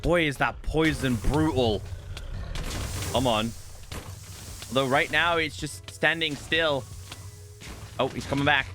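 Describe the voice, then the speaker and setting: A man giving game showcase commentary as a video host, in an expressive, emphatic presenter's delivery. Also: medium pitch, slow, pauses often. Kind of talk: game showcase commentary, part scripted narration, part live reaction. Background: music.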